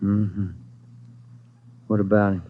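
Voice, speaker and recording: A man's voice: on the low side, level tone, takes it slowly, slight background noise. A man playing a loyal, alert police detective's partner in a 1940s radio drama, plain-spoken and colloquial. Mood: quiet, easy. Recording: dull old radio recording, loud music.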